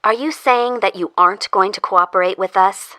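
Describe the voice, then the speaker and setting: A woman's voice: mid-range voice, moderate pace, pushed and bright, close to an everyday voice. An actress playing a stern female government interrogator in an audio drama, speaking in a formal, curt and insistent way. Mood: insistent, threatening. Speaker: voice actor. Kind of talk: audio fiction drama dialogue.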